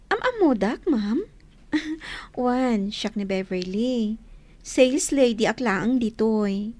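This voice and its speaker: Friendly young saleswoman, radio drama: high, lilting, perky, eager to please. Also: expressive, drawn-out words, pauses often, a little mellow, full character voice. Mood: cheerful. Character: cheerful, polite young saleswoman, bubbly and friendly. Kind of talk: Ilocano radio drama acting.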